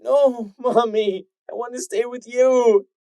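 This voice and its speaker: A man's voice: very high-pitched, slow, a little mellow, no echo, voice pushed up, heavily acted. A man voicing a small, frightened young filly who wails and pleads tearfully for her mother. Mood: wailing.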